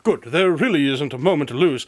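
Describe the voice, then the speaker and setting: A man playing an impatient, pompous gentleman detective in a radio drama, speaking in formal, wordy phrases. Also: on the high side, animated, fairly quick, dry recording, clean studio sound, lightly acted. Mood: brisk, dismissive.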